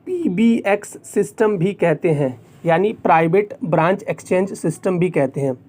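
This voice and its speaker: A man's voice: sits high, creaky, a little mellow. A man with an Indian accent presenting a tech tutorial, explaining things step by step and often repeating points for clarity. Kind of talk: explanatory tutorial, semi-scripted.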